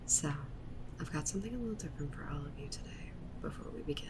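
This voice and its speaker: A woman playing a yandere witch VTuber in an ASMR livestream roleplay, talking softly and haltingly to her chat, sweetly menacing and self-justifying. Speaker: streamer. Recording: noisy with low rumble.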